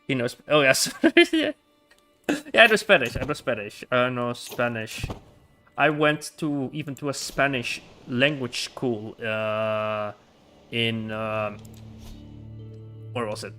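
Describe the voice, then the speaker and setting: A man's voice: medium pitch, takes it slowly, pauses often, bright, forceful tone, soft background music. A man streaming a strategy game live, chatting casually in a rambling way, laughing often and restarting his words. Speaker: livestreamer.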